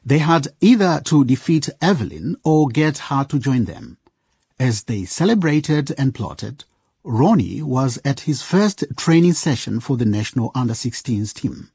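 A man's voice: mid-range voice, lively intonation, unhurried, dark tone, slightly rough, no echo, muffled recording. A man narrating a radio drama as a storyteller addressing listeners in scripted style. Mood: storytelling.

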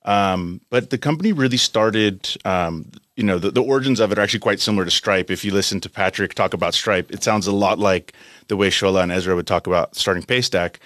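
A man's voice: lowish voice, fairly quick, a bit bright, dry recording. A man in his late thirties with an American accent, talking casually as an interview guest in a studio. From United States, California. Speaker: interview guest, company country head.

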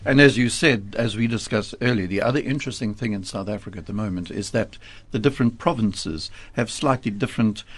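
A man with a fairly low voice, hosting an interview in an affable, conversational way. His voice is recorded dry and close, with no room echo. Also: brisk, few pauses, slightly rough. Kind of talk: radio interview.

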